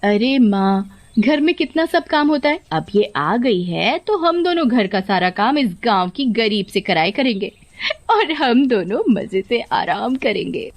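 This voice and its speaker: A woman's voice: on the high side, very animated, a little slow. A woman voicing a cunning, two-faced young sister-in-law in a cartoon story, sugary and mocking. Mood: sly, wheedling. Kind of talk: Hindi cartoon story voice acting.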